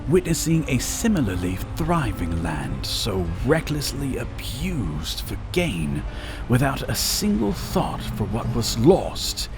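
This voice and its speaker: A man reads scripted fantasy narration over loud music. His delivery is very slow and measured, with pitch that rises and falls widely. He tells the story with dramatic weight. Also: huge pitch swings.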